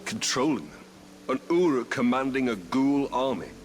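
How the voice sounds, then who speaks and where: An actor playing a grave, skeptical ranger captain in a video game, speaking in a measured, dry, questioning manner. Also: male voice, medium pitch, lively intonation, slight background noise, natural voice. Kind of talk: video game cutscene acting.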